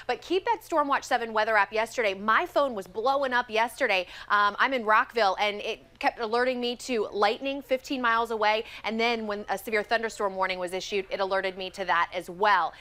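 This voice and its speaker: A woman's voice: a little high, brisk, hardly any pauses, bright, forceful tone. An American woman presenting a live weather forecast in a conversational broadcast style.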